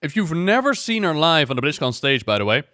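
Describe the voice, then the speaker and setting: A man's voice: a little high, big pitch swings, fairly quick, bright, forceful tone, no room sound, clean studio sound. A man giving casual, enthusiastic reaction commentary on video game story scenes, speaking effusively.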